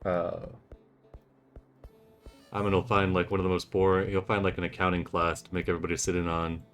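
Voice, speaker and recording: Deep, even-toned man. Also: soft background music. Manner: measured, thinking aloud. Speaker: player. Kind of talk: casual tabletop roleplay, planning and describing actions.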